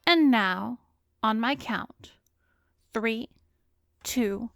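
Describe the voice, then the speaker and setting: A woman playing an arrogant, cruel, seductive vampiress hypnotist in an ASMR roleplay, savoring and commanding, with breathy speech that often drops to a whisper. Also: fairly high pitch, a bit bright, no room sound, clean studio sound, soft background music, exaggerated voice. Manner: slow, savoring, commanding, teasing. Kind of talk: ASMR roleplay audio drama.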